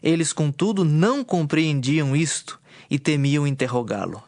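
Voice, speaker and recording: A man's voice: fairly high pitch, expressive, moderate pace, darkish tone, no room sound, studio quality. A man with a Brazilian accent narrating a dramatized scripture in a scripted storytelling style.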